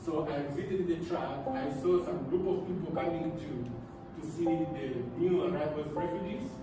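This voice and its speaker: A man from Congo, whose first languages are Swahili and French, tells his life story in English, earnest and halting, often repeating words. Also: on the high side, background noise. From Democratic Republic of the Congo. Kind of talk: personal storytelling talk with slides. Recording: echoey room, mic far off, loud music behind.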